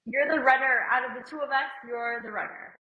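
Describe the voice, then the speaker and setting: A woman's voice: high-pitched, brisk, a little hiss or hum. A woman co-hosting a casual business chat, talking easily with a slight cold and filling her speech with "like". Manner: chatty, uses "like" often, laughs lightly. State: has a cold. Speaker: podcast co-host and company CEO. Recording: roomy, somewhat dull sound.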